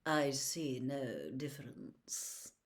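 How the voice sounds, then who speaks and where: A woman reading a storybook as a cold, sneering male teacher, speaking softly and silkily with slow, deliberate calm. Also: extremely low pitch, voice pushed down, heavily acted. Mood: silky menace. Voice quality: soft, silky. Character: cold, menacing adult male potions teacher, silky and sneering.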